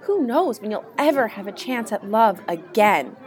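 A woman's voice: mid-range voice, sing-song, normal speed, close to an everyday voice. A woman playing a naive, devoted young sweetheart with earnest melodrama in a satirical Christmas radio play. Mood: earnest, helpless.

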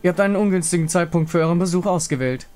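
A man voicing a solemn, fatalistic warrior woman of the moon in a game story, grave, formal and measured. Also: high-pitched, a little mellow, natural voice. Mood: solemn greeting. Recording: music behind the voice.

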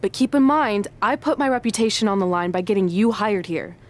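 A woman's voice: mid-range voice, lively intonation, brisk, lightly acted. A woman voicing a confident female wrestler in a video game, wry and protective toward her friend.

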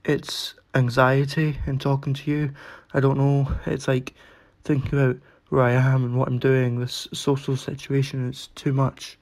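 An actor playing an anxious, apologetic, socially awkward young person, speaking haltingly in a short-story reading. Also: medium pitch, little pitch movement, a little mellow, lightly acted. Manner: hesitant, halting.

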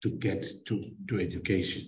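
A man's voice: fast, slight background noise. A man on a panel speaking emphatically, repeating words as he searches for them. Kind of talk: panel discussion. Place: conference room, indoors. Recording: echoey room, dull distant sound.

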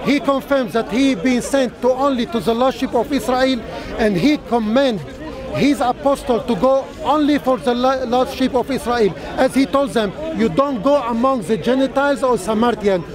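A man's voice: very high-pitched, normal speed. A man making a careful point in a street debate in a park, speaking with non-native phrasing. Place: city park outdoors, crowd around. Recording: outdoor crowd noise.

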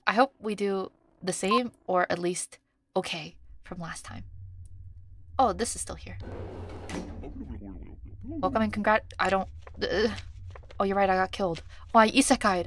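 A woman's voice: medium pitch, normal speed, pauses often, a bit bright, slight background noise. Chatty woman streamer muttering through a game. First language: Korean. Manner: chatty, thinks aloud, reads numbers under her breath. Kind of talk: casual gameplay commentary.

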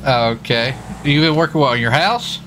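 A man's voice: medium pitch, lively intonation, normal speed. A man who is streaming a roleplay video game, talking casually in a folksy, chatty way. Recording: noisy engine and street sounds behind. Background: vehicle and game ambience.

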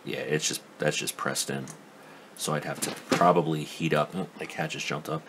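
A man's voice: very deep voice, fairly even intonation, normal speed, very noisy. A man giving a casual hands-on tool review at his workbench, rambling and skeptical, full of ums and restarts. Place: indoor workbench.

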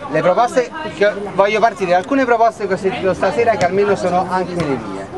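A man in his thirties speaking earnestly to a crowd at an outdoor night meeting in a city square, often asking rhetorical questions, recorded by a camera at a distance. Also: high voice. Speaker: activist at a public meeting. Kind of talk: impassioned speech to a crowd. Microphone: far camera.

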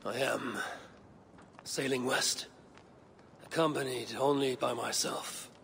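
An actor playing a weary, resolute Viking warrior in a game, gravelly, with terse, formal and solemn delivery. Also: male voice, medium pitch, fairly even intonation, slow pace, fairly bright tone, a little hiss or hum. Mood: calm, resolute. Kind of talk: video game cutscene acting.

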